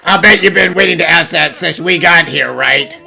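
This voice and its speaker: A man's voice: fairly high pitch, moderate pace, gravelly, pushed and bright, exaggerated voice. A man voicing a dry, slightly exasperated police inspector in an anime fan dub, matter-of-fact and wry.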